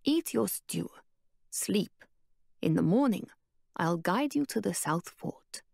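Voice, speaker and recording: A woman voicing a calm, cryptic old woman who speaks in a low, hushed, measured way. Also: creaky, dry recording, clean studio sound, heavily acted.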